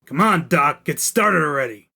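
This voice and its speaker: A man playing a gruff, brusque Victorian police inspector in an audio drama, with music behind him. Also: a little high, expressive, moderate pace, sharp tone, exaggerated voice. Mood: gruff, irritated. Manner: brusque, dismissive. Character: gruff, impatient, bigoted male Victorian London police inspector.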